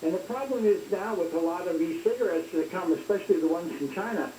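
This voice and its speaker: A man's voice: high voice, animated, fast talker, mellow. A man speaking as a doctor guest on talk radio, explaining things informally and repeating phrases as he goes. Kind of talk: conversational medical explainer. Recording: roomy, hissy, faint noise.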